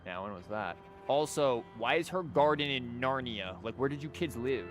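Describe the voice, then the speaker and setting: A man's voice: mid-range voice, animated, normal speed, a little hiss or hum. A man giving casual playthrough commentary as a game streamer, with wry, joking, rambling asides. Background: game music.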